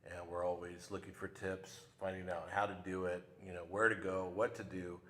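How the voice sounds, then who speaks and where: A man hosting a local interview show, talking in a relaxed, conversational way. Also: low-pitched, normal speed, in a large hall. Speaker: show host. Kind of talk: casual interview. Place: local TV studio.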